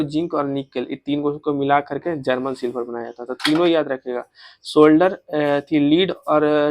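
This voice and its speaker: A man's voice: on the high side, no echo. A man with a Bihari accent giving an informal exam revision lesson, drilling facts by repeating questions and answers and mixing in English technical terms. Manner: repetitive, drilling facts with self-posed questions. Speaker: exam coaching teacher.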